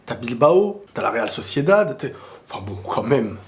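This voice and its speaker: A man with a cold and a sore throat, talking casually and excitably about football to his viewers, often rambling into quick asides. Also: medium pitch. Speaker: football video creator answering viewer questions. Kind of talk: casual opinionated football talk to camera.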